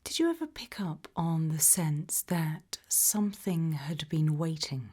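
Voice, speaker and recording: A British woman whispers in a low, mellow, breathy register. She takes her time, putting careful, calm questions as an interviewer in an ASMR roleplay. The delivery is soft and measured throughout. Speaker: ASMR roleplayer playing an abduction investigator.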